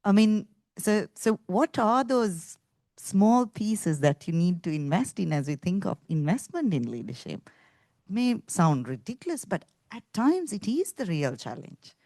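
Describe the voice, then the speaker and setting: A woman's voice: medium pitch, very animated, unhurried, dark tone, no echo, clean studio sound. A woman speaking English as a second language, earnestly making her point on a discussion panel. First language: not English. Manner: earnest, thinking aloud with restarts.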